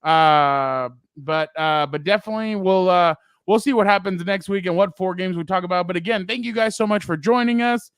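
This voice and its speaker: A man co-hosting a casual sports talk show, loud and rambling, often laughing and trailing off. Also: sits high, normal speed, hardly any pauses, clean tone, dry recording, clean studio sound. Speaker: co-host.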